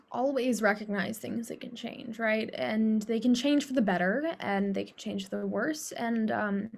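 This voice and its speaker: A woman's voice: fairly high pitch, a little mellow. A woman playing a thoughtful adviser in a conversational documentary theater monologue.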